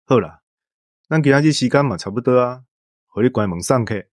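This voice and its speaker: A man's voice: mid-range voice, lively intonation, fairly quick, no echo. A man with a Taiwanese accent co-hosting a show, explaining things in casual conversation. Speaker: co-host. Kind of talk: casual explanatory podcast chat.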